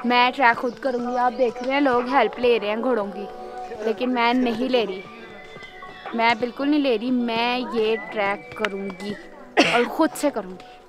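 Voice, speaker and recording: A woman's voice: on the high side, fairly even intonation, normal speed, music in the background. Upbeat young woman vlogging on a hike. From Pakistan. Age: young adult. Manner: chatty and upbeat, breathless at times from hiking. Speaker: travel vlogger. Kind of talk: casual travel vlog narration to camera. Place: outdoors on a forest hiking trail and hilltop meadow. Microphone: handheld camera held close.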